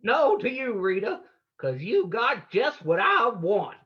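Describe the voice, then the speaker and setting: A man's voice: sits high, sing-song, slow, lo-fi sound, full character voice. A man playing a terse, tough undercover investigator in an old-time radio drama. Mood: guarded, terse. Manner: terse, hard-boiled. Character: tough undercover man posing as a crook's partner.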